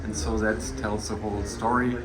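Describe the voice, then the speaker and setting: A man's voice: lowish voice, flat delivery, a loud crowd, poor audio. Man presenting with a German accent. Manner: non-native English with halting, searching phrasing. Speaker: company representative presenting a product. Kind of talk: informal product demonstration.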